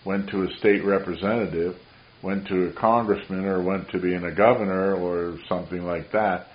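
A man's voice: low voice, fairly even intonation, moderate pace. A man preaching a sermon in an earnest, rambling, preacherly way, with a voice he says carries far. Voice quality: carrying voice. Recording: dull roomy recording.

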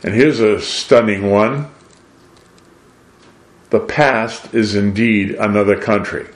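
An older American man from New England delivers a preachy monologue in a deliberate, emphatic way, posing rhetorical questions. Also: on the low side, steady tone. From New England, USA. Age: 60s or older. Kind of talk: preachy monologue with read-out quotations. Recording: roomy, echoing voice.

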